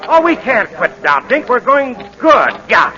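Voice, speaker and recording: An actor playing a rough, excitable gambling prizefighter and father in a 1940s radio drama. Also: very high-pitched, a little slow, full character voice. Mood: excited, gruff. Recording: muffled old 1940s radio recording.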